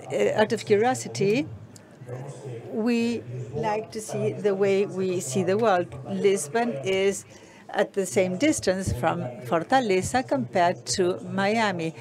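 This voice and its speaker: A woman giving a steady, measured simultaneous interpretation of a conference talk. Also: mid-range voice. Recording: conference hall with audience noise.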